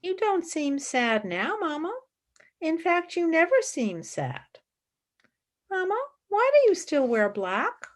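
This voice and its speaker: A woman acts out a ten-year-old girl in a radio play. The voice is high and swoops up at questions. She is blunt, childlike and keeps probing. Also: big pitch swings, slow pace, heavily acted. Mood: curious, probing.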